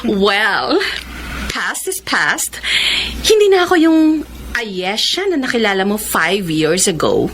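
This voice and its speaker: A woman's voice: mid-range voice, very animated, takes it slowly, fairly bright tone, a little hiss or hum, low-quality recording. A Filipino woman playing a poised, guarded young career woman in a radio romance, speaking affected Tagalog peppered with English. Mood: cool, guarded. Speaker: actress in a radio romance drama.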